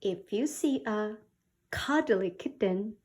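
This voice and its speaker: A woman from South Korea reading a picture book to young children as a teacher, with simple, expressive talk and questions. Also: mid-range voice, takes it slowly. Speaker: children's English read-aloud teacher.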